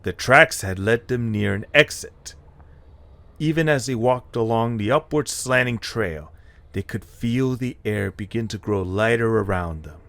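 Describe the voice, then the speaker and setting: Slow, low-voiced male story narrator. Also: vocal fry, a bit bright, dry recording. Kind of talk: dramatic scripted story narration.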